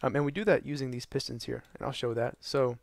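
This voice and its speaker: A young man narrating a gaming tutorial while losing his voice, hoarse and tired, rambling with many ums and uhs. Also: fairly even intonation, quick pace, no echo. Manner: tired, low energy. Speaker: gaming channel host. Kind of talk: casual tutorial narration over gameplay.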